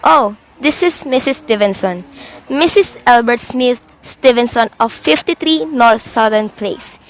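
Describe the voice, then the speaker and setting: A woman's voice: fairly high pitch, lively intonation, measured pace, rough recording. A young woman playing an anxious, demanding invalid wife in a suspense radio drama, with a fretful and indignant manner. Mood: agitated, distressed. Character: anxious, demanding, self-important invalid wife, easily exasperated.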